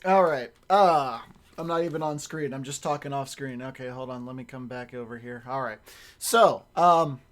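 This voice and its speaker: A young man with a strained, raspy voice, chatting casually on his stream as a voice actor and rambling with lots of restarts and the odd swear. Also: medium pitch, normal speed, fairly bright tone.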